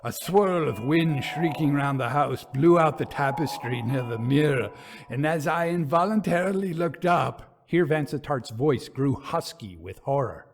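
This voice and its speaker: A man narrating a gothic ghost story in a theatrical, suspenseful, measured manner. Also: a little high.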